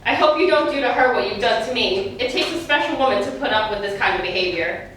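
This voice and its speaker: A woman's voice: fairly high pitch, expressive, quick pace, a bit bright. A woman playing an exasperated, sarcastic scorned wife and mother as an indignant talk-show guest in a theatrical monologue. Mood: shocked, hurt. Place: stage, live performance. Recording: very echoey stage, distant mic, faint noise.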